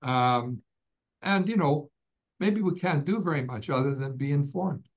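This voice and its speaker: A man's voice: a little high, lively intonation, a little slow. A middle-aged man from Canada, a guest author talking in an office over a video call, with many fillers and restarts. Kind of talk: casual interview on a video call. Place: office indoors. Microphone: webcam on a video call.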